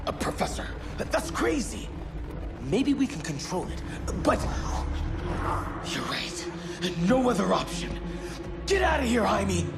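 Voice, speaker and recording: An actor playing a brash young nuclear-powered male hero, urgent and shouting orders. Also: sits high, very animated, measured pace, gravelly, long pauses, over loud music, exaggerated voice. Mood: reluctant. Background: loud orchestral game score.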